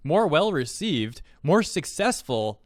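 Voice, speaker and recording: A man's voice: a little high, big pitch swings, slow, no echo, clean studio sound. A man hosting an opinionated online commentary, talking in a sarcastic, mocking way full of pointed rhetorical questions. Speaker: YouTube commentary host. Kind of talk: opinionated online commentary, reading out tweets and reacting.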